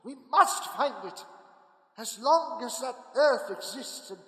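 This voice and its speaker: A man's voice: very high voice, expressive, takes it slowly, sharp tone, exaggerated voice. A grave, commanding doctor who hunts vampires, speaks with hard-edged intensity. His voice rings in a long reverb, in classic radio horror style. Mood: urgent. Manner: commanding, intense, dramatic questioning. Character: Doctor Van Helsing, grave, urgent male vampire hunter. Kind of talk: old-time radio horror acting. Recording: echoey hall with long reverb.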